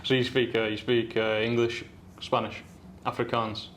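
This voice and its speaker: A man's voice: mid-range voice, little pitch movement, moderate pace, fairly bright tone, a little hiss or hum. A man hosting a podcast, talking in an eager, chatty way full of slang. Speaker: podcast host. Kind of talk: casual chat.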